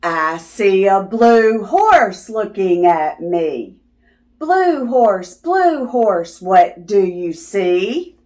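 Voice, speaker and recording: Woman reading aloud, slow and very lively. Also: mid-range voice. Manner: sing-song, repetitive phrasing addressed to young children; teacherly, asking questions and affirming answers. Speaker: host of children's read-aloud videos. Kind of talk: children's picture book read-aloud.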